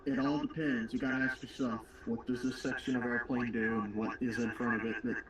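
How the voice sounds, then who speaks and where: A man's voice: mid-range voice, level tone, brisk, distant mic. Man, co-host, matter-of-fact explainer, off-mic with hiss. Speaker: livestream co-host. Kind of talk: casual chat.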